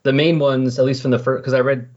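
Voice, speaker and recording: A man with a husky voice chatting casually on a podcast, explaining and recapping in a rambling way. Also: mid-range voice, flat delivery, fast, darkish tone. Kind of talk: casual comic book discussion. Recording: dull lo-fi voice recording.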